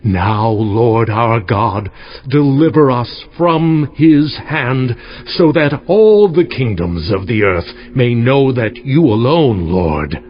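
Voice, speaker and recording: A man's voice: medium pitch, very animated. An actor playing a pious king pleading solemnly in fervent prayer in a dramatized Bible audio production. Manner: slow, solemn, imploring. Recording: dull, band-limited audio.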